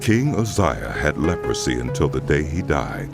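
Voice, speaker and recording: A man reading scripture as the solemn, measured narrator of a dramatized audio Bible. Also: rumbling low, big pitch swings, creaky, loud music behind the voice.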